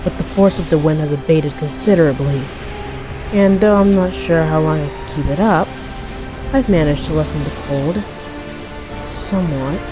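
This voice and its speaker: An actor playing a polite, candid ice-powered superhero in a comic dub, wordy and careful to qualify things. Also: male voice, fairly high pitch, moderate pace, close to an everyday voice, rough recording. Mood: strained, candid. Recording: music behind the voice.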